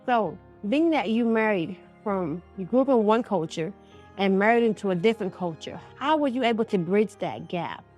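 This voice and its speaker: A woman hosts a talk show in a folksy, conversational style. Her voice sits at an average pitch for a woman, with faint music underneath. She affirms what is said and speaks straight to her listeners. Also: animated, moderate pace, a little mellow. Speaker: radio show host. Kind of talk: conversational interview.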